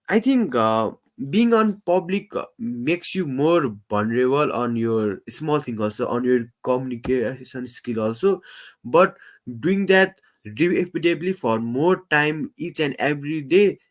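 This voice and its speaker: A man hosting a casual live stream, talking in halting English full of fillers and restarts, and he says he stammers. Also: mid-range voice. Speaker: livestream host.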